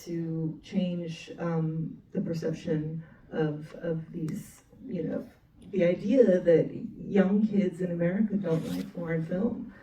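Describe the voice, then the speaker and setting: Female program organizer on a panel, halting and conversational, distant mic with faint background noise. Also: lowish voice, steady tone, mellow, echoey room. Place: panel event room with ambient noise.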